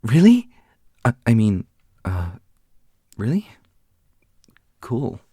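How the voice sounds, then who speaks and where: A man's voice: medium pitch, huge pitch swings, drawn-out words, dark tone, breathy edge, dry recording, studio quality, voice pushed up, heavily acted. A man playing a shy, flustered young man who stammers hesitantly in a fantasy audio roleplay.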